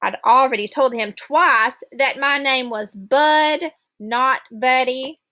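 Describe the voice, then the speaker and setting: A woman reading a children's novel aloud as an expressive storybook narrator. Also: sits high, slow pace.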